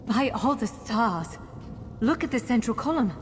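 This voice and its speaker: A woman's voice: fairly high pitch, expressive, unhurried, a little hiss or hum. A woman playing an earnest, encouraging female elf scholar who guides a hero in a fantasy video game. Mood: awed. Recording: long hall reverb, faint music.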